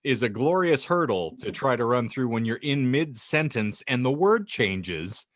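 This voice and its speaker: A man narrates in a dramatic, mock-grand storytelling style. His voice sits at a middle pitch and moves at an unhurried pace. The sound is dry and close, and he is playful with it. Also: sing-song, a bit bright, dry recording. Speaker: podcast host and narrator. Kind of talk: theatrical audiobook reading with joking asides.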